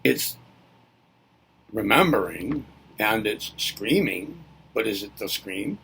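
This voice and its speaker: A man's voice: fairly low pitch, animated, slow, a bit bright, slight background noise, poor audio. A man giving a reflective spoken lesson, musing aloud and asking himself rhetorical questions before answering them. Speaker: presenter.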